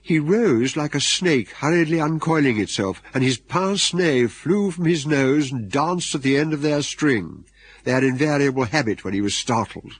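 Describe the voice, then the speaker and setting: A man with an English accent and a husky voice, playing a wry storyteller narrating a radio comedy drama. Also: medium pitch, lively intonation, moderate pace, dry recording. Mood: wry, amused. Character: wry storyteller describing a comic English aristocrat. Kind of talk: scripted literary narration.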